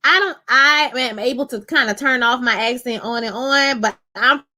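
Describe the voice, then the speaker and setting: A woman's voice: on the high side, moderate pace. A woman in her thirties from Louisiana with a New Orleans accent, chatting casually with her live-chat viewers while reviewing a TV episode. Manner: chatty, animated, talks to chat as "y'all". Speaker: show reviewer live-streaming.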